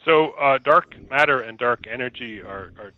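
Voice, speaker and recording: A man speaking as a physicist, giving an informal scientific explanation in a measured, didactic way, restating himself as he goes. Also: mid-range voice, phone-quality sound. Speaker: physicist, laboratory researcher.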